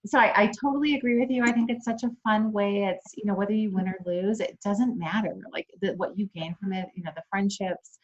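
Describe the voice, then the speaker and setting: A woman from Hawaii hosts the interview at an ordinary pitch. She is upbeat and talkative and keeps affirming what her guest says. Her audio is dull and muffled. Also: fairly even intonation, fairly quick. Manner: upbeat and chatty, affirming answers. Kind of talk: friendly interview.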